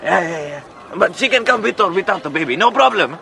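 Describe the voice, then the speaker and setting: An actor playing a shifty, wheedling male slave dealer with halting sales patter in an audio drama. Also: sits high, lively intonation, creaky, pushed and bright, exaggerated voice. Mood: wheedling, oily. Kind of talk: radio drama acting. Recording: busy outdoor market crowd. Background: crowd.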